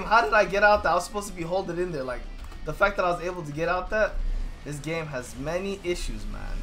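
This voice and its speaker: A young man giving loose, excitable commentary over his own gameplay, swearing freely and going hoarse from losing his voice. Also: a little high. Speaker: gaming YouTuber.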